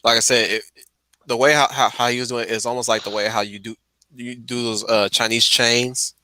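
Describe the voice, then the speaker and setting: A man's voice: mid-range voice, flat delivery, a little fast, bright, forceful tone. A man chatting casually on a stream in an emphatic, slangy way, often repeating his phrases. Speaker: stream host. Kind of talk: casual chat about films.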